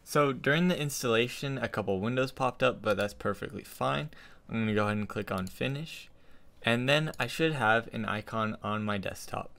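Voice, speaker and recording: A young man hosting a casual software tutorial, speaking into a desktop condenser microphone. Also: medium pitch, normal speed, gravelly. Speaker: tutorial host. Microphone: desktop studio condenser microphone.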